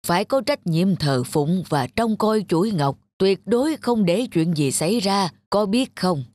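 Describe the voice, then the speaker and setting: An actor voicing a stern elderly male monk, a measured and authoritative temple master in a cartoon. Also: mid-range voice, animated, a little mellow, no room sound, natural voice. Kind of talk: animated fairy-tale dubbing.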